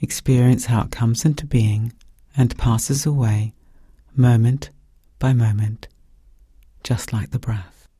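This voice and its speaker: A man with a soft, breathy voice guiding a meditation in calm, gentle, measured phrases with pauses. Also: mid-range voice, dry recording. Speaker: meditation guide. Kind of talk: guided meditation narration.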